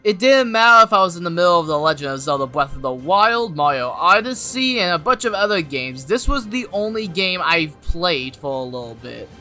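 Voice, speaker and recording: An animated video game reviewer with a bright, forceful voice of middling pitch. The delivery is an enthusiastic scripted review, full of emphasis and exclamation. Music plays softly behind the voice. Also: a little fast, few pauses.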